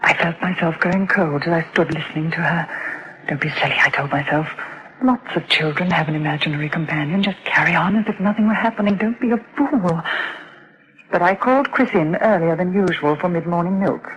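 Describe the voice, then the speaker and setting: An actress as a gentle, anxious young mother. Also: on the low side, a little fast, close to an everyday voice. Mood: calm. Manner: soft, earnest, hesitant when worried. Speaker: narrator and worried mother. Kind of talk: 1950s-style radio drama narration. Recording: dull lo-fi old radio recording.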